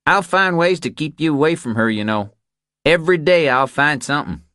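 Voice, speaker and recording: A man's voice: a little high, expressive, fairly quick, sharp tone, smooth, no echo, studio quality, voice pushed up. A man voicing a resentful ranch boss in an audiobook, petulant and threatening. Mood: spiteful.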